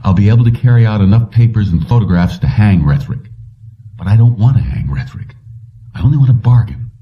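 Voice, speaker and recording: A man's voice: fairly low pitch, animated, a little fast, distant mic, highs cut off, lightly acted. A man reading a determined, calculating fugitive in an audiobook, speaking insistently and explaining himself. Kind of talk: audiobook character dialogue.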